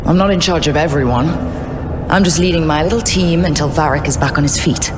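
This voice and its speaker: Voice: medium pitch, fairly quick, over music, close to an everyday voice. A voice actor playing a young elf adventurer and former rogue who talks in a wry, sarcastic, joking way.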